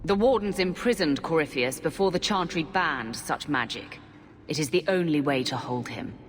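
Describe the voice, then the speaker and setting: An actress playing a determined, haughty warrior commander in a fantasy game, stern, imperious and insistent. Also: female voice, deep voice, lively intonation, moderate pace. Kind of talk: dramatic fantasy game acting. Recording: long stone-hall reverb.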